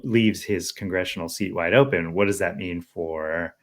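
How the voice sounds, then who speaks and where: A man's voice: low-pitched, moderate pace. An American man co-hosting a podcast in casual political discussion, thinking aloud and often checking for agreement. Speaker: podcast co-host.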